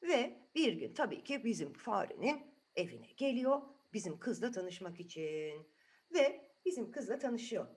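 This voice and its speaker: A woman's voice: medium pitch, big pitch swings, a little slow, darkish tone. A woman telling a story to children in an improvised, chatty way, asking the listeners questions as she goes.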